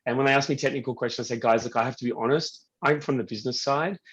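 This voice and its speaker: A man's voice: medium pitch, fairly even intonation, rapid-fire, fairly bright tone, dull audio. A man speaking as a webinar panelist, casually telling anecdotes in a self-effacing, jokey way. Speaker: webinar panelist, business-side tech salesman. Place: online webinar.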